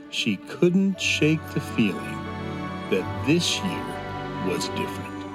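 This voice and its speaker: A man narrating a children's fantasy audio drama in a gentle, unhurried storytelling manner. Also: mid-range voice. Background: soft instrumental music.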